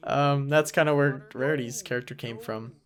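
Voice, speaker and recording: A man giving rambling, casual, self-critical commentary as the creator of an animated parody series. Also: medium pitch, normal speed. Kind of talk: casual unscripted director's commentary. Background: episode audio with cartoon voices playing under the talk.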